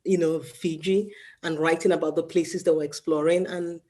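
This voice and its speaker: A woman from Nigeria answering interview questions in a relaxed way, often saying "you know". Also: deep voice, normal speed. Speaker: guest.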